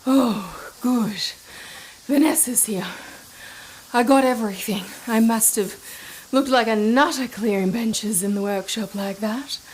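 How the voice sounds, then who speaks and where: A woman playing a scatty, eager tech tinkerer in an audio drama, rambling excitedly. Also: fairly high pitch, a little slow, a little hiss or hum, lightly acted, poor audio. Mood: breezy, flustered.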